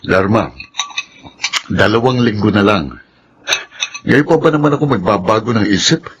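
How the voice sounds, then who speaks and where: A man's voice: lowish voice, expressive, normal speed, background noise, natural voice. A man playing a domineering, possessive father in a radio drama. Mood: determined. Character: domineering, possessive rich man demanding his child.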